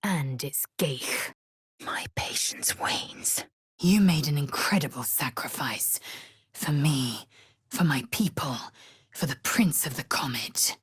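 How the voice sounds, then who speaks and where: A woman playing a fierce, proud, disdainful young githyanki warrior in a video game, speaking in a husky, breathy, imperious and clipped way. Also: low voice, lively intonation, dry recording, whispered, clean studio sound, full character voice. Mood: hushed, intense. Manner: imperious, clipped, deliberate, formal.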